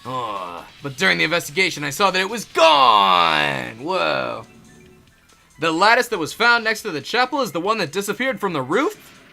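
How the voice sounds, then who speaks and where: A man's voice: high voice, very animated, normal speed, creaky, sharp tone, soft background music. A man playing a game with friends, exclaiming and joking, with dramatic line readings. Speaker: fan dub voice performer playing a game. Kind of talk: animated group game read-through and banter.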